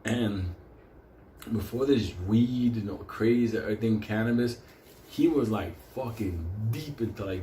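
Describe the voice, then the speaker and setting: A man's voice: fairly low pitch, warm tone, echoey room, slight background noise, poor audio. A man from Puerto Rico whose first language is Spanish, telling profane, rambling stories and laughing often as host of his own show. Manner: rowdy, laughs often, swears constantly. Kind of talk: casual profane storytelling monologue.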